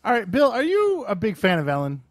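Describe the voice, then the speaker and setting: A man's voice: sits high, huge pitch swings, brisk. A man co-hosting a podcast in casual banter, joking around and swearing freely. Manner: joking, profane. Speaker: podcast co-host.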